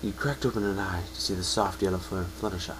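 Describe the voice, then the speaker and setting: A man's voice: low voice, fairly even intonation, fast talker. A man narrating a second-person story as a dramatic reading, sometimes stumbling and correcting himself. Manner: reads with stumbles and self-corrections. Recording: home recording with faint hum.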